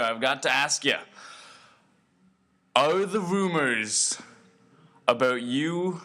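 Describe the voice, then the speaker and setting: A man's voice: fairly high pitch, bright, forceful tone. A man playing a chummy, pushy 1920s college radio host with showy, folksy announcer patter. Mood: pressing. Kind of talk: 1920s radio acting, scripted hosting.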